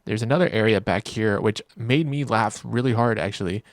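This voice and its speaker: A man's voice: fairly low pitch, fairly quick, no room sound, clean studio sound. A man narrating a video game review in an informal, wry, joking way, with casual reactions. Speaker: gaming video creator.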